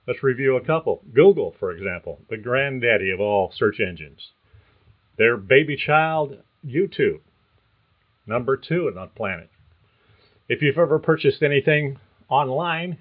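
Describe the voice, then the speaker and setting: Man reading a script over a tinny line. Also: mid-range voice. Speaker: podcast host. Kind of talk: scripted narration.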